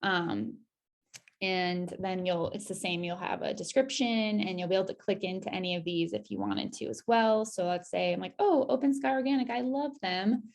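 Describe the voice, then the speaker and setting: A woman's voice: medium pitch, expressive, moderate pace. A woman presenting an informal screen-share walkthrough of a website, talking casually with upbeat asides. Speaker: presenter giving a website demo.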